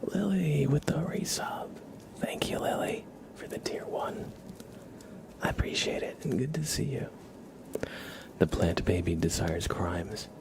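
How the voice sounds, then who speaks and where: A man hosting an ASMR livestream, chatting casually in a soft, whispery murmur and gently repeating his phrases. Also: fairly low pitch, very animated, measured pace, gravelly.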